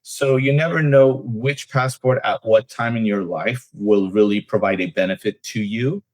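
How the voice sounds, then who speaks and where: A man's voice: fairly low pitch, moderate pace, no room sound, rough recording. A man who works as an immigration attorney, telling anecdotes as a podcast guest with frequent "uh" fillers. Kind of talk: casual podcast interview.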